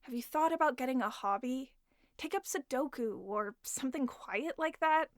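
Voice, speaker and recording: An actress playing a wry, brisk police patrol officer in an audio drama, with a dry, matter-of-fact delivery. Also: female voice, high-pitched, expressive, dry recording.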